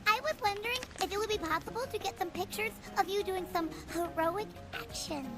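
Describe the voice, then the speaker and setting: A woman's voice: very high-pitched, a little fast, music in the background. A woman voicing an eager, wide-eyed little schoolgirl in a game cutscene. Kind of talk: animated game cutscene acting.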